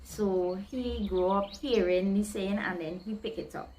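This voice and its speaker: A woman with a Guyanese accent, tinged with Trinidadian, talks straight to her viewers in a relaxed vlog. Her voice sits at an ordinary middle pitch. She is chatty and expressive, voicing the local phrases she explains. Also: unhurried, a little hiss or hum, poor audio. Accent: Guyanese, with Trinidadian creeping in. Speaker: vlogger explaining slang.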